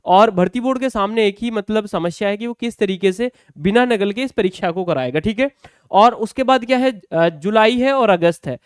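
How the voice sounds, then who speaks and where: A man's voice: high-pitched, moderate pace, clean tone, no echo, studio quality. A man explaining exam news to students as a coaching teacher, conversational and often checking in with short tag questions.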